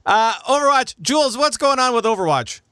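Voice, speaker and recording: Smooth-voiced man hosting, close and dry. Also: very high-pitched, sing-song, brisk, sharp tone, dry recording. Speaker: podcast host. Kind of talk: casual gaming news discussion.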